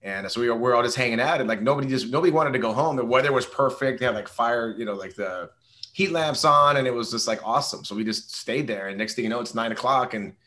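A hoarse man co-hosting a podcast, chatting casually about sports with lots of fillers and restarts. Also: mid-range voice, fast talker, bright, forceful tone. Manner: rambling, many fillers and restarts. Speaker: podcast co-host.

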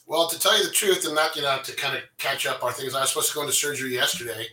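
A man's voice: medium pitch, rapid-fire, pushed and bright. A man on a casual podcast telling stories with a dry joking manner. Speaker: podcast guest. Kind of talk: casual chat. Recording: echoey, distant from the mic.

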